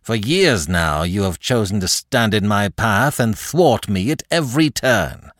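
A proud French marquis at the end of his hopes, performed by a male audiobook reader. He speaks low and deliberately, with icy formality and aristocratic menace. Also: lively intonation, no echo, clean studio sound. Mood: icy contempt. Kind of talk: dramatic audiobook character acting.

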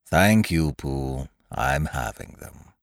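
A man's voice: extremely low pitch, very animated, takes it slowly, no echo, clean studio sound, full character voice. A man voicing a gloomy old donkey in a storybook, mournful, murmuring and resigned. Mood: gloomy resignation.

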